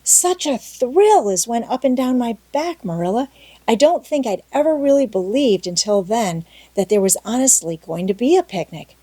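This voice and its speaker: A woman acts out a young orphan girl in an audiobook. She is talkative and dreamy, gushing with earnest drama. The tone stays soft and mellow, recorded very dry. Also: a little high, expressive, moderate pace, slightly rough, no echo, voice pushed up, rough recording. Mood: thrilled. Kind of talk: audiobook character acting.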